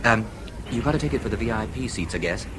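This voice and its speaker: Actor as breezy American newsman, low and lively. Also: fast, background noise, close to an everyday voice. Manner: breezy, wry. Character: breezy, wry American newspaperman, knowing and casual. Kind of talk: radio drama acting.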